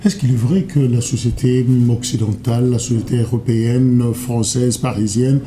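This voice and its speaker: A man's voice: medium pitch, steady tone, normal speed, dark tone, a little hiss or hum. A man hosting a TV interview, asking probing questions with frequent fillers.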